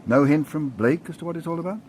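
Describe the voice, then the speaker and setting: An actor playing a discreet, inquisitive doctor in a radio drama, calm and quietly probing. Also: male voice, fairly high pitch, fast talker, a little hiss or hum, natural voice. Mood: curious.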